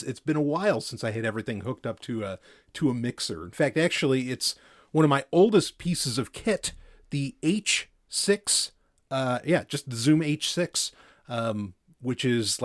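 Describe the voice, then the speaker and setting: A man at an ordinary middle pitch hosts a podcast from a home setup, speaking casually into a studio mic on an arm. He rambles along with plenty of fillers and restarts. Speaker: podcast host.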